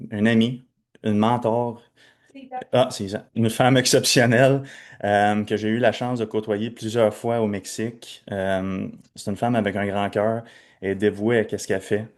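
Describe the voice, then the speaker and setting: Slangy young Québécois man, low voice. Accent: Québécois French. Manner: chatty, loose, slangy. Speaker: webinar host introducing speakers. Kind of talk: casual talk.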